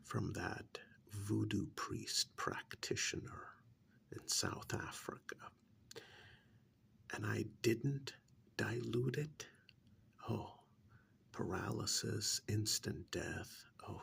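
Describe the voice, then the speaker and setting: A cold, methodical killer , acted by a man in an ASMR role-play. He speaks very slowly in a low, menacing whisper, heavy with gravelly fry. Each phrase is deliberate and gloating, with pauses between. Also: fairly bright tone, full character voice. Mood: clinical, sinister. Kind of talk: soft-spoken ASMR role-play monologue.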